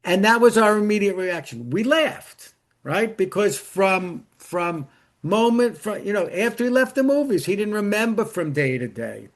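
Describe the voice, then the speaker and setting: A man's voice: high-pitched, big pitch swings, normal speed. A middle-aged man, an interview guest, telling personal stories and giving advice in a conversational way, acting out bits of dialogue as he goes.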